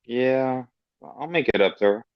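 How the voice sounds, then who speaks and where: A man acting a blunt, regretful, foul-mouthed character in a dramatic script read, speaking haltingly. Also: mid-range voice, clear, resonant tone, no room sound, lo-fi sound, close to an everyday voice. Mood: weary. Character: gruff, regretful, foul-mouthed man, guilt-ridden cousin.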